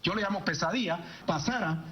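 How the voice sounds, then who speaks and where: A man from Guatemala argues his point as an interviewee. His voice is high for a man, and the recording is muffled. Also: brisk, bright, forceful tone, a little hiss or hum. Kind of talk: argumentative interview answer. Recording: dull archive video clip.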